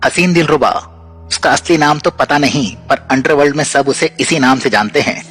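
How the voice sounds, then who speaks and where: A man acting as a composed, watchful undercover detective in an audio drama, explaining things in a measured way. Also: mid-range voice, a bit bright, natural voice. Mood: explaining, secretive. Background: background music.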